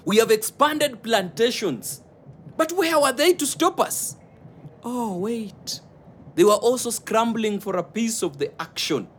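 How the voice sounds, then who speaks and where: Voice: mid-range voice, lively intonation, takes it slowly, a bit bright, a little hiss or hum. An actor playing a defiant settler in a radio play, speaking sarcastically.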